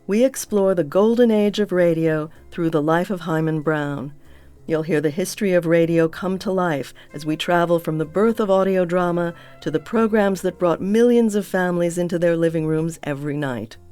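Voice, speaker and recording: Female announcer for a podcast trailer: scripted, crisp delivery, faint music bed. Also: on the low side, a little mellow. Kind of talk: scripted promotional read.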